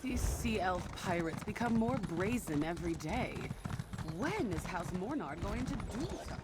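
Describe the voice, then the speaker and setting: High-voiced, agitated fantasy townsperson. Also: male voice, sing-song, moderate pace, very noisy. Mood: indignant. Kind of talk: video game background dialogue.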